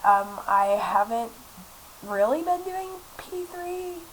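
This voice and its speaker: A woman's voice: on the high side, expressive, takes it slowly. A woman in her twenties, sick and congested, chatting casually to her laptop webcam in a small apartment, rambling with lots of fillers. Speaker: vlogger. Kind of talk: casual chat to camera. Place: small apartment room indoors. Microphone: laptop webcam mic. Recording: lo-fi webcam audio with faint hiss.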